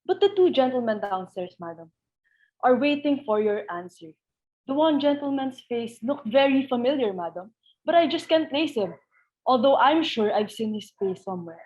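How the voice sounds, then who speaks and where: Woman playing a proper, deferential servant, higher-voiced. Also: expressive, darkish tone, close to an everyday voice, rough recording. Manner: formal, polite, measured reporting. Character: deferential, proper household servant announcing to the mistress. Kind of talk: dramatic reading of a stage comedy.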